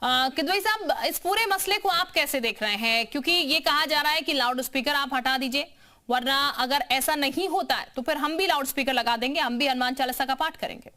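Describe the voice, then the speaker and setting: A woman hosts a live television news debate. Her voice sits high and has a bright, pressing edge. She addresses guests formally and moves between them as moderator. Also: a little fast.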